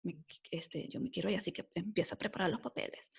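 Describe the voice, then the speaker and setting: A Venezuelan woman in her forties with a mixed accent softened by life in the United States, telling her story as a podcast guest in a lively way, full of fillers like "este" and "o sea". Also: lowish voice, fast, vocal fry, husky. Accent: mixed Venezuelan Spanish, softened by years in the US. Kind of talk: casual storytelling interview.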